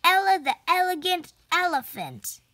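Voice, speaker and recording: A woman's voice: extremely high pitch, very animated, unhurried, pushed and bright, voice pushed up, full character voice. A woman voicing a snide, eager little schoolgirl elephant sidekick in a storybook. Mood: teasing.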